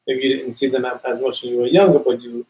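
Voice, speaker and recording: A man's voice: mid-range voice, steady tone, fast talker, warm tone, poor audio. A man from New York co-hosting a casual talk show, often repeating and restarting phrases. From New York City. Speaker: podcast co-host. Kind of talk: casual conversation.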